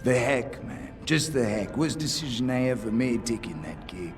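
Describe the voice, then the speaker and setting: A man's voice: medium pitch, lively intonation, moderate pace, lightly acted. An actor playing a cocky, nervous male hacker who talks in slang in a video game cutscene. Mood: flippant. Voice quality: slangy, mocking. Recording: distant voice over loud music.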